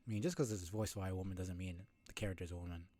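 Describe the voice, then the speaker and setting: A man's voice: low-pitched, fairly even intonation, racing, dark tone. A man giving casual game commentary in a musing way, thinking aloud as he goes. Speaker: game streamer.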